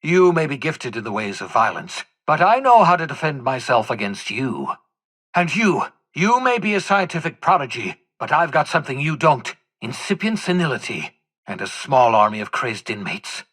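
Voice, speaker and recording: An actor playing an eccentric, embittered old scientist rebel in a video game, with a raspy voice and a grandiloquent, melodramatic way of talking. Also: male voice, medium pitch, very animated, moderate pace, fairly bright tone. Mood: contemptuous, indignant. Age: older. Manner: grandiloquent, wordy, scornful and melodramatic. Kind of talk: theatrical video game character acting.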